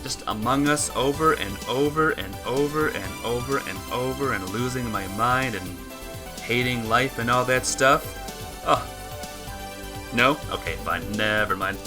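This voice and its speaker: A woman's voice: rumbling low, a little slow. A woman game streamer reading a visual novel aloud and chatting casually with viewers. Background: music.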